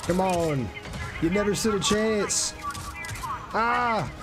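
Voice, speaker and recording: A man's voice: high-pitched, expressive, slow. A man giving casual gameplay commentary, thinking aloud in an informal way as he plays. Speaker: gaming video host. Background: game music and sounds.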